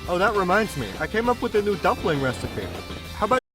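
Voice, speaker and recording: A cheerful young anime guy, acted by a man speaks fairly high and with lots of swing in pitch. He is breezy and teasing, with music behind him. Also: big pitch swings, fast. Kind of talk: anime fan dub acting.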